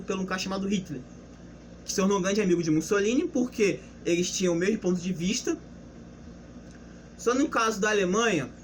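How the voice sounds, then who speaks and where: A man's voice: high-pitched, fairly bright tone, a little hiss or hum. A young man giving a casual, unscripted history lesson, explaining loosely with restarts and rhetorical questions. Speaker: history presenter.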